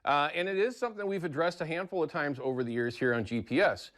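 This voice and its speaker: Folksy American man, slightly high-pitched voice. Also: animated, fast talker, a bit bright. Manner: folksy, chatty, conversational. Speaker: TV show host. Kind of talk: casual how-to fishing segment.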